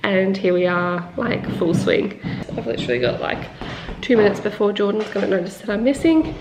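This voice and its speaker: A woman's voice: mid-range voice, animated, moderate pace, background noise. A young Australian woman with a snuffly, blocked nose, chatting casually and laughing while filming herself at arm's length in a bathroom under renovation. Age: young adult. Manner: chatty, laughing, filler-heavy. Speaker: vlogger. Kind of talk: casual vlog chat. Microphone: handheld camera at arm's length.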